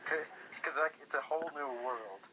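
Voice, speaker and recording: A man chatting casually over the phone, halting and repeating words as he talks. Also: a little high. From Europe. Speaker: featured phone guest. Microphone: telephone. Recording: noisy phone call.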